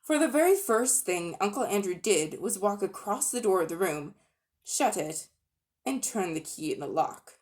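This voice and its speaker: A woman giving an expressive, animated dramatic reading of a children's storybook. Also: medium pitch, normal speed. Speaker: reader.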